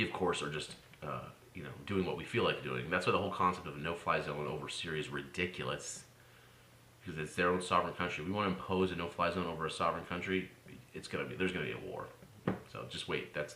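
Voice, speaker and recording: American male commentator, very low and forceful, sarcastic informal monologue, roomy recording with slight noise. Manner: opinionated, sarcastic, swings into mocking exclamations. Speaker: political commentator. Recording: roomy echo with faint noise.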